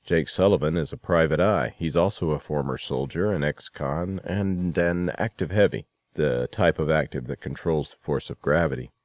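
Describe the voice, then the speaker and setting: A man's voice: extremely low pitch, moderate pace, few pauses, darkish tone, no echo, studio quality. A man hosting a show and reading scripted introductions. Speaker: show host. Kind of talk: radio-style hosting and scripted announcements.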